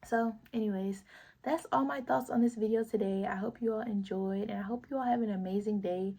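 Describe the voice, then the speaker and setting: A young woman vlogs straight to her viewers in an earnest, emphatic, slangy way. Her voice is raspy, with a gravelly fry and a husky, breathy edge. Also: medium pitch, steady tone, brisk, warm tone. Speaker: vlogger. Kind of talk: casual opinion vlog.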